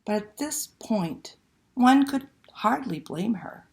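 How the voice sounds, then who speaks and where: A woman telling a picture-book story to children in a dramatic, expressive read-aloud style. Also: fairly high pitch, takes it slowly, vocal fry. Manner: dramatic, animated delivery with hushed passages and exclamations.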